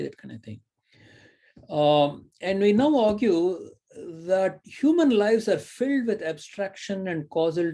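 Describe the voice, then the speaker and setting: A man's voice: high voice, creaky, dark tone. A middle-aged man giving a recorded university lecture, thinking aloud with fillers and frequent "right?" tags.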